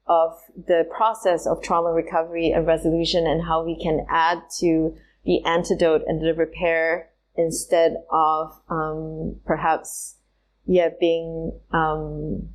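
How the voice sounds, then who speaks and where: A woman from Singapore, a trauma specialist, answering interview questions in a thoughtful, halting way with many pauses and fillers. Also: low-pitched, rough recording. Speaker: guest, somatic trauma specialist.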